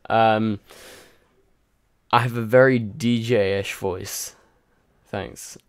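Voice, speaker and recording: A man's voice: on the low side, steady tone, very slow, smooth. A tired man hosting a casual livestream, rambling and chatty with lots of fillers while answering viewer comments. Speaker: livestream host. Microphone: home microphone.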